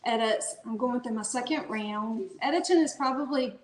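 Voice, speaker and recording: A woman's voice: a little high, moderate pace. A woman from North Carolina with a Southern accent, an author chatting casually as an interview guest. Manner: relaxed, chatty, with fillers and restarts. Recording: echoey room.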